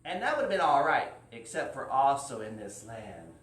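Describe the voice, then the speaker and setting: A man's voice: mid-range voice, very animated, normal speed, a bit bright. A man hosting a live children's story time, informal and drawing out words for young listeners. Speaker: library storyteller and host. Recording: roomy, distant mic, faint noise.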